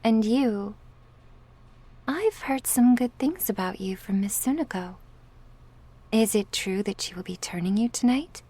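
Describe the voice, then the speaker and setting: A woman playing a politely teasing, smug young recruit of a crime syndicate, soft and breathy in an ASMR roleplay. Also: medium pitch, lively intonation, unhurried, pauses often. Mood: polite, curious.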